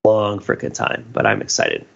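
A man's voice: lowish voice, wildly swooping pitch, normal speed, creaky, fairly bright tone, dull audio. A man co-hosting a podcast, talking casually about pop culture in an enthusiastic, geeky way. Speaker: podcast co-host.

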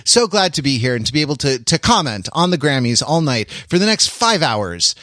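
Higher-voiced American man hosting a podcast. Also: big pitch swings, fairly quick, pushed and bright, no room sound, studio quality. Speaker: podcast host. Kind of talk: casual comedic banter.

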